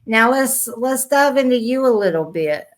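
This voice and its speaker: A woman's voice: a little high, lively intonation, normal speed. Woman hosting a casual interview. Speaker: podcast host.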